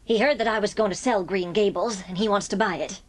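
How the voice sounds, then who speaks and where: A woman's voice: medium pitch, fairly quick, dry recording, natural voice. A woman playing an older, stern but loving farm spinster in an audiobook drama, plain-spoken and heartfelt. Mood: resigned.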